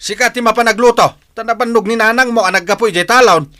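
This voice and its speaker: A man's voice: very high-pitched, fast, bright, forceful tone, a little hiss or hum. An actor playing a strict, grumbling farmer father who nags in exasperation in an Ilocano radio drama. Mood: exasperated. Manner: nagging, exasperated.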